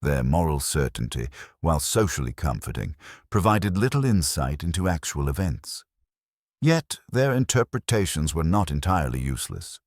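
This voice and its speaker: Very deep male mystery narrator. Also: very animated, no echo, clean studio sound. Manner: measured, formal storytelling. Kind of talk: scripted mystery story narration.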